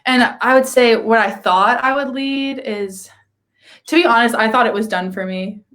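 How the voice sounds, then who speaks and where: Young woman, singer client, candid and modest, slightly high pitch. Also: normal speed, fairly bright tone. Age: young adult. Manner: candid, self-deprecating, laughs often. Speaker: singing client. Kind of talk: casual interview.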